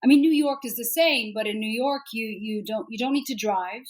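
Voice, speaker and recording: A woman's voice: fairly high pitch, fairly quick. A woman in her forties, a guest in an interview, talking in a chatty way with many fillers and restarts. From Ireland. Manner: chatty, warm storytelling with frequent fillers and restarts. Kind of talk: casual interview chat.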